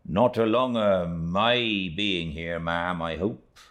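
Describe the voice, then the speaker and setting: A man's voice: sits low, slow, a bit bright. A man voicing a humble, devout old seafaring uncle in an audiobook, with a rustic East Anglian dialect, earnest and plain-spoken. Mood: deferential. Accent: rustic East Anglian English dialect. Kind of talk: audiobook character reading.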